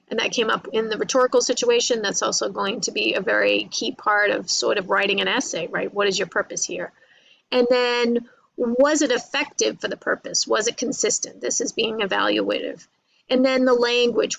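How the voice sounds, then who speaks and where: A woman's voice: a little high, creaky, a bit bright, rough recording. A woman lecturing informally to college students, explaining in a conversational way, repeating points and often checking in with "right?" and "you know".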